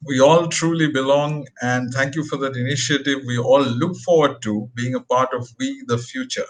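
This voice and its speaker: A man's voice: medium pitch, rough recording. Polite male interview host. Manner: polite, measured questioning. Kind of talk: interview moderation.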